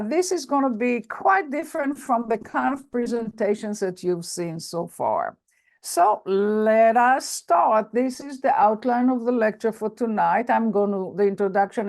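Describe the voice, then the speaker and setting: Older Israeli woman lecturing, gravelly. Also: mid-range voice, a little mellow. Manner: measured, halting, many fillers and restarts. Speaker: professor giving a lecture. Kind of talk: academic lecture on a video call. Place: online video call.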